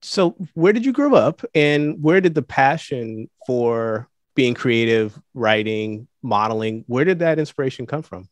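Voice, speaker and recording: A man's voice: mid-range voice, expressive, no echo. Man asking questions in a dry room. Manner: measured questioning with hesitations. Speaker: interviewer, host. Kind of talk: interview.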